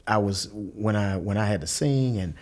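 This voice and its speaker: A middle-aged man from Louisville, a musician and guest telling stories in casual conversation. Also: moderate pace. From Louisville, Kentucky. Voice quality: mellowed former high singing voice. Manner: animated storyteller, quoting others with voiced impressions.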